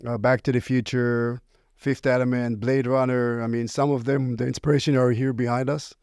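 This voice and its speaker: A man's voice: medium pitch, flat delivery, moderate pace, darkish tone, smooth, dry recording. Middle-aged male founder with an Israeli accent, mid-range voice, casual interview storytelling, very dry sound. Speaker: company founder, podcast guest.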